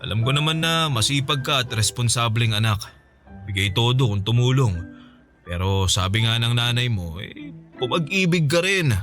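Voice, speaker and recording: A man's voice: mid-range voice, moderate pace, fairly bright tone, faint music behind, natural voice. An actor with a Filipino accent playing a teasing, sociable friend, a small business owner and single father, in casual radio drama banter. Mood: teasing, encouraging. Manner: chatty, teasing, chuckling buddy talk.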